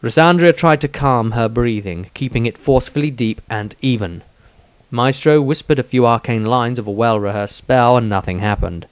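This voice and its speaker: A man reading his own fantasy story aloud in a deliberate, dramatic storytelling manner. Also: medium pitch, smooth, no echo. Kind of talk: scripted fantasy audiobook narration.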